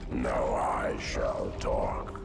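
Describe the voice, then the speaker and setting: Colossal ancient game monster, extremely slow, heavy gravelly rumble, grandiose, ominous, echoing. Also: male voice, fairly even intonation, over loud music, full character voice. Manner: slow, oracular, grandiose pronouncements. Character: vast ancient alien parasitic hive mind, ominous and grandiose. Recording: distant, echoing, loud rumbling creature noise.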